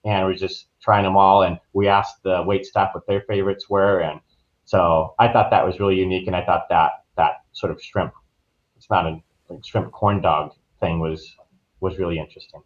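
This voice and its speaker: Low-voiced man, slightly dull recording. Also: flat delivery, moderate pace. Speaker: podcast guest, travel agent. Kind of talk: casual conversational recounting.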